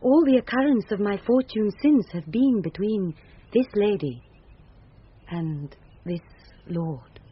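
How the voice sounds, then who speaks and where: An actress with a British accent playing an earnest young gentlewoman disguised as a boy in a radio Shakespeare play. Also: medium pitch, takes it slowly, breathy edge. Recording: muffled old radio broadcast recording.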